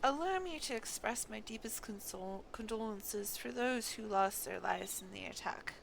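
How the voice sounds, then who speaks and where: A woman's voice: on the high side, lively intonation, normal speed, creaky, a little hiss or hum. Woman reading as a solemn, compassionate fantasy sister. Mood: solemn, consoling. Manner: formal, gentle condolence.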